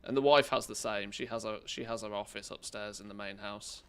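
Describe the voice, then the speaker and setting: A man's voice: fairly low pitch, fairly even intonation, fairly quick, fairly bright tone, a little hiss or hum. A man streaming a game, chatting casually with his viewers and grumbling at the game between rambling stories. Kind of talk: casual chat while playing a game.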